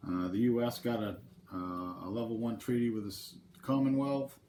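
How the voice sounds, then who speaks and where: A man's voice: on the low side, fairly even intonation, moderate pace, dark tone, faint music behind. A man with a head cold hosting his channel, talking casually through a board game playthrough and explaining the rules step by step. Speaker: channel host.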